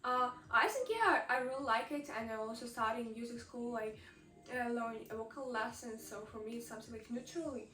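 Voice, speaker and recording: A woman's voice: on the high side, fairly quick, bright, forceful tone, reverberant, distant mic. A young woman with a Ukrainian accent, chatting casually, hesitant and full of fillers. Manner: hesitant, filler-heavy, eager. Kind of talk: casual chat.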